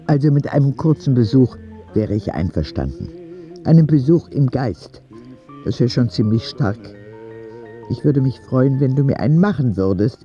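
A man's voice: medium pitch, muffled recording, lightly acted. A man narrating an audio drama as a candid, vulnerable ten-year-old sick boy who confides in a naive, plain way.

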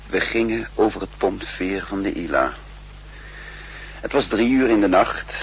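Low-voiced, grimly weary spymaster. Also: male voice, lively intonation, unhurried, poor audio. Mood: sober narration. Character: shrewd, grimly weary male spymaster on the run. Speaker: lead actor and first-person narrator. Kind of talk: 1960s radio drama acting. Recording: humming, hissy 1960s radio recording.

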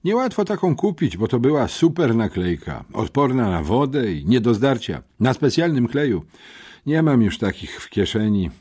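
A man's voice: medium pitch, big pitch swings, moderate pace, mellow, slightly rough, voice pushed up. A man voicing an earnest, talkative schoolboy amateur detective in an audiobook, meticulous and matter-of-fact. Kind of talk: audiobook character reading.

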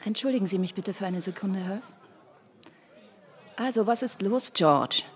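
A woman's voice: mid-range voice, normal speed, some chatter behind, natural voice. A woman playing a cool, self-possessed city woman in a radio drama, composed and wry. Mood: composed, firm. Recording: music behind the voice.

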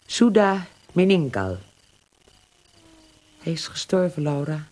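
An actress playing a kindly, grave older women's camp leader, gentle and measured, in radio drama. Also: female voice, on the low side, big pitch swings. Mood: regretful. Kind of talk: naturalistic radio drama acting.

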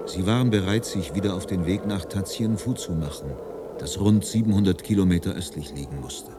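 A man acts as the storyteller of a radio play, reading in a low voice over clear music. The delivery is sober, composed and measured, like scripted literary narration. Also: natural voice.

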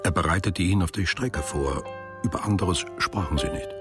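A man's voice: deep voice, moderate pace, over music. A man reading literary prose as the scripted narrator of a radio drama.

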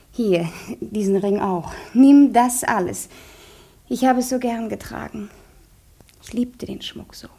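An actress playing a fragile, melancholy wife in a German radio drama, intimate and breathy, often close to a whisper. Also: female voice, a little high, mellow, full character voice. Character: fragile, melancholy exiled wife, tender and fatalistic.

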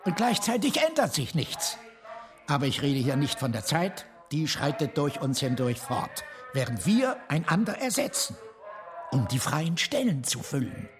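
An actor playing an opinionated, eccentric brother who philosophizes in an insistent, lecturing, articulate way in a German radio drama. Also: male voice, fairly high pitch, sing-song, moderate pace, over music.

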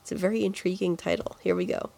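A woman's voice: fairly low pitch, steady tone, quick pace, gravelly, mellow. A woman with a congested, nasal voice co-hosting a podcast in a casual, chatty style. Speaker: podcast co-host. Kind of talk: casual video game music podcast chat.

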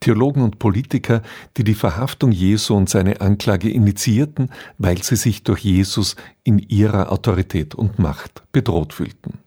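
A man reading a scripted radio introduction in a measured, formal, explanatory manner. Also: lowish voice, dark tone, husky. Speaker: radio presenter introducing a radio drama.

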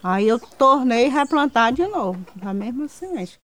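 Female farmer interviewee, rural Ceará accent, fairly high voice, rambling everyday storytelling. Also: animated, unhurried, music in the background. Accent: rural Ceará, Northeastern Brazilian. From rural Ceará, Brazil. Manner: rambling, colloquial. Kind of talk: casual anecdotal talk.

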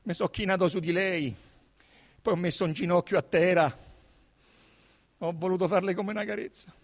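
A man's voice: high-pitched, fairly even intonation, moderate pace, voice pushed up. An actor voicing an anxious young man with a Roman accent, stammering and imploring as he justifies himself as a suspect in a radio drama. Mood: distressed, pleading. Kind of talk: dramatised radio literary reading.

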